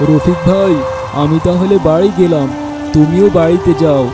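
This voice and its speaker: A man playing a kindly, pious village farmer and neighbour in an animated moral cartoon, speaking earnestly and consolingly. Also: a little high, normal speed, close to an everyday voice. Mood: reassuring. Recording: loud rain noise behind.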